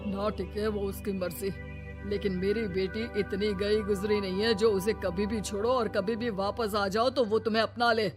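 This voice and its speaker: A woman's voice: medium pitch, steady tone, moderate pace, loud music behind the voice, close to an everyday voice. A woman acting an older father-in-law in a cartoon story, protective, firm and caring.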